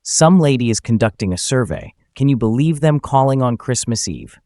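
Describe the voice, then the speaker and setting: Smug vengeful husband, acted by a man, animated. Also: mid-range voice, moderate pace, no room sound, studio quality, lightly acted. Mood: smug politeness. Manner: smug, controlled, sarcastic. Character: vengeful betrayed husband, falsely polite, coolly menacing.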